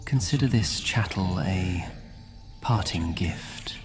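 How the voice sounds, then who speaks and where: A man playing an imperious, cold, centuries-old vampire lord in a fantasy audio roleplay, with a breathy, whispery, menacing drawl. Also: low voice, takes it slowly, over music. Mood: scornful dismissal.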